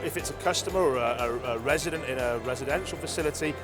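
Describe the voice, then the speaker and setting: A man explaining a product conversationally as an interviewee, measured and often hesitating with ums and filler phrases. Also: mid-range voice, over music.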